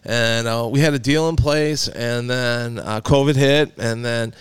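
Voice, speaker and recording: A man's voice: medium pitch, steady tone, a little slow, smooth, no room sound. A man in his forties hosting a podcast, rambling in casual chat with fillers and restarts. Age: 40s. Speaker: podcast host.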